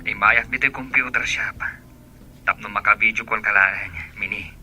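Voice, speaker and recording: A man with an Ilocano accent playing a devoted, slightly jealous boyfriend in a radio drama, with a husky, edgy voice. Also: fairly low pitch, steady tone, moderate pace, pushed and bright, soft background music. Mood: affectionate.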